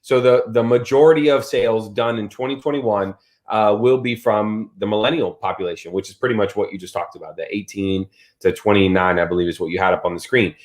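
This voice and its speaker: A man in his thirties in casual chat, telling stories with lots of fillers and lively swings in his voice. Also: fairly low pitch, fairly quick. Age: 30s. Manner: animated storyteller, many fillers.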